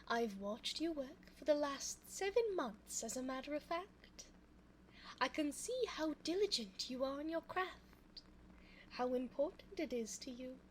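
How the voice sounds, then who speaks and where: A woman playing an elegant, cunning vampire in an ASMR audio roleplay, speaking formally and coaxingly in a soft voice close to the microphone. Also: high-pitched, a little hiss or hum, low-quality recording. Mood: courteous, flattering. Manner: formal, genteel, slow, coaxing. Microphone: close binaural microphone.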